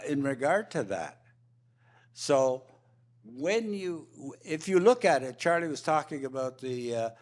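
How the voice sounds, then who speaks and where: A man making impromptu panel remarks, repeating and restarting his phrases often as he argues his points emphatically. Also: fairly high pitch. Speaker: roundtable panelist.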